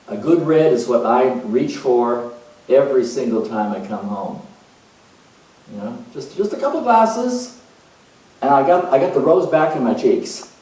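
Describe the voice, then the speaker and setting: A man acting out a gushing, dreamy, soft-hearted volunteer who rambles on with lots of "you know", recorded with the mic far off in an echoey room. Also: medium pitch, close to an everyday voice.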